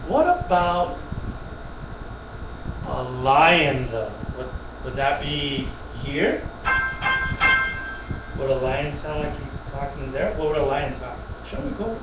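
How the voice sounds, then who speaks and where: A man's voice: a little high, slow pace, pauses often, very noisy. A man teaching a child piano, patient and encouraging, repeating points and praising often. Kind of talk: one-on-one children's music lesson. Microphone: webcam from a distance. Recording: echoey room, distant dull webcam mic, music.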